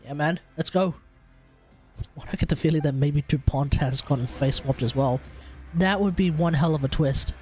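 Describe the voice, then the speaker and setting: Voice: mid-range voice, moderate pace, darkish tone. A game streamer giving casual, joking, slangy commentary on a playthrough. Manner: joking, laid-back slang. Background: game music.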